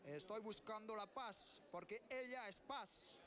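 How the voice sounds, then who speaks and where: A man's voice: high-pitched, measured pace, crowd noise. A man playing a theatrical, lovelorn knight errant who pleads as he searches for his lady in a live street-style performance. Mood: pleading.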